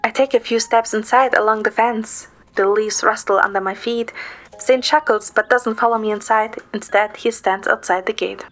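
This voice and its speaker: A woman's voice: on the high side, fairly even intonation, moderate pace, a bit bright, lightly acted. A woman narrating an audio drama in the first person as a composed, observant young woman. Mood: composed, descriptive.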